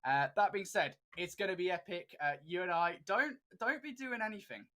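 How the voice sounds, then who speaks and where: A man's voice: high voice, very animated, fairly quick, bright, forceful tone. A man casting an esports tournament in a chatty, upbeat way, with many fillers. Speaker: esports caster. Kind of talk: esports commentary.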